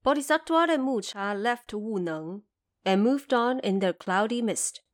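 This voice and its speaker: A woman's voice: on the high side, lively intonation, a little slow. A woman reading scripted narration of a classic fantasy tale for an audio drama. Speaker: storyteller.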